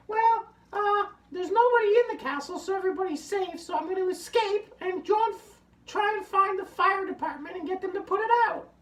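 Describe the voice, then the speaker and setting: A sad, fretting puppet girl with a very high, squeaky voice, chattering to the audience about her troubles, as a man puts her on. She sounds a bit off-mic in an echoey room. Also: fairly bright tone. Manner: fretful, chatty, talks to the audience. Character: sad fuzzy female hand puppet. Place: home workshop room. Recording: roomy, slightly distant.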